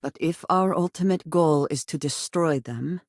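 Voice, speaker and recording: Casting: an earnest, guarded female space-game companion; precise, formal delivery, measured phrasing, dry studio-close sound. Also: deep voice, a little mellow, no room sound. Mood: measured, grave. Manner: formal, measured, precise diction with few contractions. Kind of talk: video game character voice lines.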